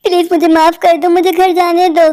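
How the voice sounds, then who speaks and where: A woman's voice: extremely high pitch, level tone, moderate pace, dark tone. A woman voicing an anxious, plaintive poor father who sells eggs in a cartoon story. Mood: pleading. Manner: plaintive, imploring. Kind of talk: Hindi cartoon story acting.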